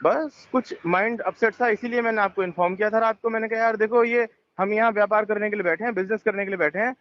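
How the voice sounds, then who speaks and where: Man, very high pitch, insistent, repetitive explaining, tense phone conversation, dull lo-fi call recording. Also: fairly even intonation, quick pace. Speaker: resort manager on a phone call. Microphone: telephone.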